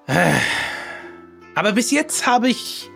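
Man playing a theatrical pirate cook, swooping pitch. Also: sing-song, slow pace, gravelly, a bit bright, faint music behind, heavily acted. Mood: wistful. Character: self-satisfied peg-legged male pirate cook hungry for chili. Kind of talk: improvised adventure audio play.

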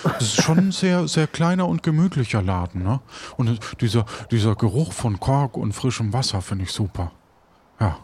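A man improvising in an audio role-play as an easygoing seafarer, talking in a casual, halting way. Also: mid-range voice, expressive, a little mellow, slight background noise. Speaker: game narrator and player.

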